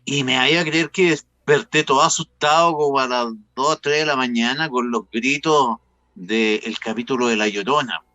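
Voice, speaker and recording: Chilean guy host, chatty n informal, sharp bright voice, dry sound. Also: medium pitch, normal speed, no room sound. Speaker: host interviewer. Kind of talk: casual conversational interview.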